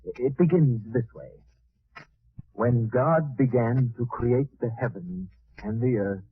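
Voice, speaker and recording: Earnest alien translator, deferential, slightly rough voice, dry vintage radio drama sound. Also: male voice, mid-range voice, takes it slowly, no echo. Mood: solemn reading. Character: eager, respectful alien assistant and translator to a professor. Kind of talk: 1940s radio drama acting. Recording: tinny band-limited vintage radio recording.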